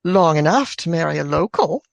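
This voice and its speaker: Actress as a low-voiced, dry elderly wife. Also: female voice, expressive, normal speed, vocal fry. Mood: wry. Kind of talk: radio play acting.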